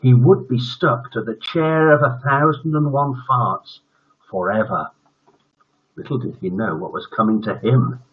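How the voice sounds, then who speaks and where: A man's voice: mid-range voice, measured pace, slightly rough. A British man in his seventies hosting a bedtime story stream, reading tales and chatting casually. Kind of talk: storytelling and casual livestream chat. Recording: dull lo-fi livestream audio.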